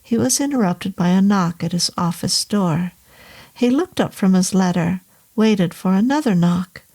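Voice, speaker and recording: A woman reading a horror novel aloud as narrator, in a measured, even way. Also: medium pitch. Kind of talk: audiobook narration.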